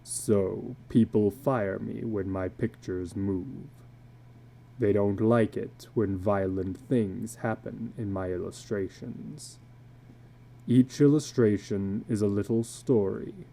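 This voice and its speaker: Casting: a male reader as a haunted, bitter older carnival drifter from a short story; low, dark voice, grave and brooding. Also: fairly even intonation, slow pace, vocal fry, heavily acted. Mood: grim, brooding. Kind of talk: dramatic reading of a short story.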